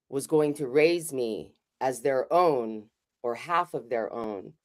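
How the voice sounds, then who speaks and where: Middle-aged woman from Hawaii, low-pitched, raw emphatic keynote speaker. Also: darkish tone. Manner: candid, conversational, emphatic. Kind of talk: raw personal keynote talk. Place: home studio. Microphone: video call.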